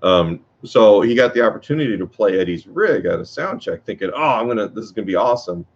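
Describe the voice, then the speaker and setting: A man teaching guitar in a casual workshop talk, with a low voice and a rambling way of speaking, full of fillers and restarts. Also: animated, fast talker, vocal fry. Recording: dull lo-fi sound.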